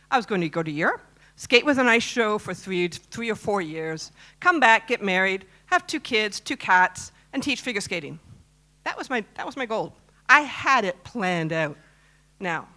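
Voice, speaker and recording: A woman in her fifties from Canada speaks on a conference stage. She tells a personal story in a chatty way and keeps asking the crowd questions. Her voice sits at an ordinary pitch for a woman. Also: pushed and bright. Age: 50s. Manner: chatty, asks the audience questions. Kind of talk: personal story talk to a live audience.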